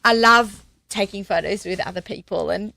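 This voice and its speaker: A woman's voice: mid-range voice, gravelly, sharp tone, dry recording. A middle-aged woman hosting a radio show, chatting casually and bubbly on a studio mic. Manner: bubbly, quick, laughs often. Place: small cluttered radio studio. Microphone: studio mic with headphones.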